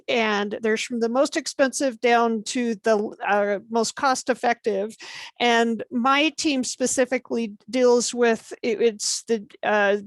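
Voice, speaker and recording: A woman's voice: a little high, no room sound. A woman in her thirties explaining her engineering job plainly on a panel. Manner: plain, explanatory, with some restarts. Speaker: senior service engineer, panelist. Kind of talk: casual panel introduction. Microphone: video call.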